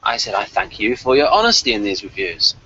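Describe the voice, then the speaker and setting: A man's voice: on the low side, very animated, brisk, bright, forceful tone, breathy edge. A man hosting a review show, chatting casually with his co-reviewer. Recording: muffled lo-fi call audio.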